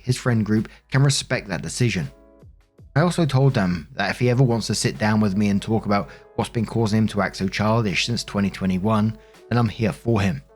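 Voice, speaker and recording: A man's voice: fairly low pitch, a little mellow. A man hosting and narrating online stories in a conversational, informal style, speaking directly to his listeners. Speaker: narrator and host of online story videos. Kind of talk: conversational narration of online relationship posts with commentary. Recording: music behind the voice. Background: background music.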